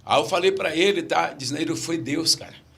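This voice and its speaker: A man's voice: fairly high pitch, moderate pace, gravelly, fairly bright tone. A middle-aged man from Brazil, a pastor with a stammer, telling stories in casual podcast chat and repeating his key phrases.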